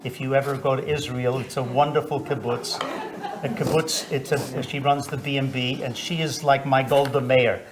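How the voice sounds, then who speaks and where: A man's voice: mid-range voice, little pitch movement, moderate pace, background noise. A man interviewing an older relative, speaking hesitantly with many fillers and prompting her along. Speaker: interviewer, family member. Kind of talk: family interview.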